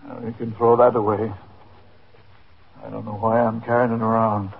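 A proud, wounded old man who digs in his heels, in a vintage radio police drama. The voice is rough-edged and plaintive, heard through a narrow, dated broadcast recording. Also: fairly low pitch, level tone, moderate pace. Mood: grumbling, indignant. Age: 70s (figure). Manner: stubborn, plaintive. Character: stubborn, hurt old runaway father, seventy-eight, male. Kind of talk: 1950s radio drama acting. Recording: muffled narrow-band 1950s radio recording.

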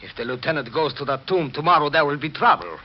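Sardonic, sinister male accomplice played by a man, theatrical radio melodrama, highish animated voice, dull noisy vintage sound. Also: quick pace, natural voice. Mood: urgent. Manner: theatrical, pointed, insistent. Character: sinister, sardonic male accomplice who faked his death. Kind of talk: 1940s radio mystery acting. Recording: muffled, noisy 1940s radio recording, partly echoing.

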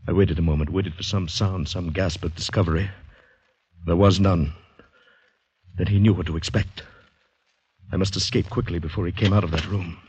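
A man's voice: sits low, fairly even intonation, normal speed, long pauses, darkish tone, slightly rough, no room sound. An actor playing a terrified, shell-shocked man on the run, narrating urgently in a vintage radio drama style. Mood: tense dread. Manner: dramatic, haunted, urgent delivery. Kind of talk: 1940s-50s radio drama acting, first-person narration. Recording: dull vintage radio recording, music behind.

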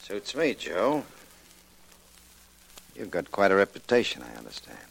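A man playing a smooth, cocky racketeer, cool and clipped, in 1940s radio drama style. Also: deep voice, wildly swooping pitch, a little slow, exaggerated voice, rough recording. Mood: cool, businesslike. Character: smooth, cocky male racketeer with many enemies.

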